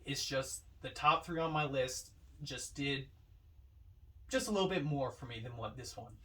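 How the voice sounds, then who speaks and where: A man chatting casually as a podcast co-host, ranking films in a halting way with many filler phrases. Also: medium pitch, fairly bright tone. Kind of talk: casual film ranking chat. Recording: distant mic with faint background noise.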